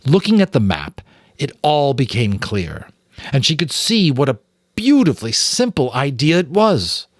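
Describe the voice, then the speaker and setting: A man's voice: mid-range voice, huge pitch swings, unhurried, no echo, clean studio sound. A man with an American accent narrating a children's novel dramatically, with casual asides. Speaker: audiobook narrator, amateur voice actor.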